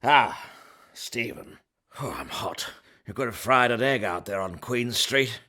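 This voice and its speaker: An actor playing a blustery, philandering master of a 19th-century house in a radio drama, with a rough voice and a colloquial Australian accent. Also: male voice, mid-range voice, a little slow, pushed and bright. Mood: hot, blustering. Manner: colloquial, theatrical. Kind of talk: period radio drama acting.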